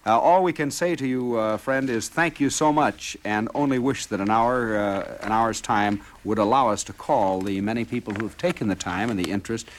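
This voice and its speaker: A man's voice: lowish voice, normal speed, hardly any pauses, a little hiss or hum. A man hosting a live radio call-in show, genial and chatty. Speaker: radio host.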